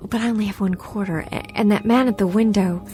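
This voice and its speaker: A woman's voice: mid-range voice, steady tone, fast, mellow, faint music behind, close to an everyday voice. An actress playing an anxious, polite woman traveler in an audio drama, hesitant and stammering.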